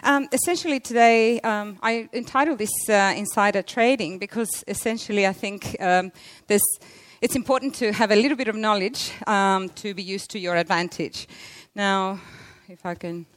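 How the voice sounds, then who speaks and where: A middle-aged woman with a loud voice giving a conference presentation, hesitant, with many fillers and restarts. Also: mid-range voice, moderate pace, few pauses, no room sound. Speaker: conference speaker, library interior designer.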